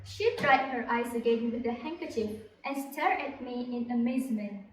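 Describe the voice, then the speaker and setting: Recorded at a distance in an echoey room, a young woman narrates a scripted story. Her voice sits a little high. There is faint noise in the recording. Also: moderate pace.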